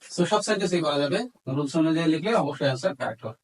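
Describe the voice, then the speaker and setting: A man's voice: a little high, animated, rapid-fire. A man with a Bangladeshi accent giving an instructional grammar lesson as a teacher, methodically dictating examples word by word. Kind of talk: instructional lesson mixing Bengali explanation with English examples.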